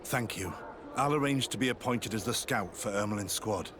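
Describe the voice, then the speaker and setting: An actor playing a remorseful, dutiful sergeant in a video game, speaking earnestly and soberly. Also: male voice, fairly low pitch, moderate pace, noisy background, lightly acted. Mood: sober, alert. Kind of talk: scripted video game acting.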